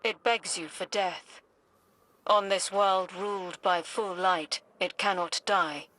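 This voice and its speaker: A woman's voice: medium pitch, steady tone, takes it slowly, pushed and bright. An actress voicing an enigmatic, solemn female oracle in a video game, cryptic and portentous. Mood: grave, haunting. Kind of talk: video game cutscene voice acting.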